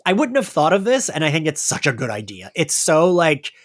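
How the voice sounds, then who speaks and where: A podcast host chatting casually and excitedly about an audio drama, with the gushing, giggly manner of a fan. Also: mid-range voice, a little fast, no room sound, clean studio sound. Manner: animated, gushing fan talk peppered with laughter.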